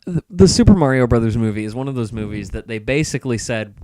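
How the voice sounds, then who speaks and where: A man with a smooth, clear, mid-pitched voice banters about films as a podcast co-host. He is casual, sarcastic and nerdy, on a very dry recording. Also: lively intonation, fast talker, a little mellow, dry recording.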